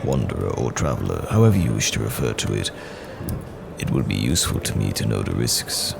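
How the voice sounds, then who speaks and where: A man playing a courteous, earnest wandering knight in fantasy roleplay audio, speaking in a measured, formal, old-fashioned way with a gravelly voice. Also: big pitch swings, background noise, full character voice. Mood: measured, musing. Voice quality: gravelly, very deep. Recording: music behind the voice.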